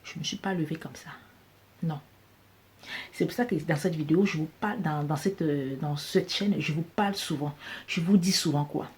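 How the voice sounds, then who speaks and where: Woman, Cameroonian French accent, very low voice, emphatic and repetitive, faint hiss behind. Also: normal speed, darkish tone. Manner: emphatic, repeats key phrases for stress. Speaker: business coach vlogger. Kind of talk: motivational talk to camera.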